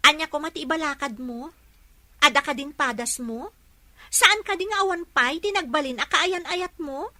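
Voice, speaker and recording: A woman's voice: sits high, normal speed, pushed and bright, no echo. A woman playing a headstrong, eager young woman in a radio drama. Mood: challenging.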